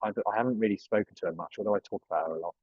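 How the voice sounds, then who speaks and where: A man's voice: fairly low pitch, very fast, darkish tone, breathy edge, no room sound. Young male coach, loose and jokey, swears a lot; dry, muffled sound. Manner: chatty, swears freely, jokey asides. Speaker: personal branding coach. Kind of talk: casual group coaching talk.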